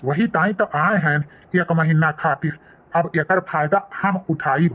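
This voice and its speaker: A man's voice: a little high, a little slow, a bit bright, dull audio. A man voicing a flirty, scheming brother-in-law in a village comedy cartoon, sly and wheedling, with a Bhojpuri accent.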